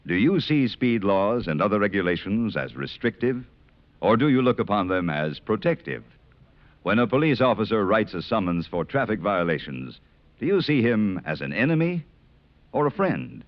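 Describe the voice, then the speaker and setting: Low-voiced, earnest American radio announcer. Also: male voice. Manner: earnest, formal, admonishing. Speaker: announcer of a public service message. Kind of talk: vintage radio scripted announcement. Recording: muffled vintage radio recording.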